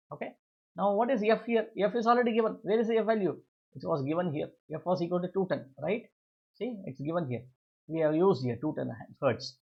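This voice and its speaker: A man with an Indian accent and a raspy voice, teaching physics step by step, asking and answering his own questions and repeating key phrases. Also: sits high. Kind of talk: worked-solution exam lesson. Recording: dull lo-fi recording.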